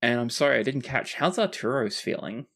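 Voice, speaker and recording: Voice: mid-range voice, animated, a little fast, fairly bright tone. Conversational game master narrating. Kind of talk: narrating a tabletop roleplaying game.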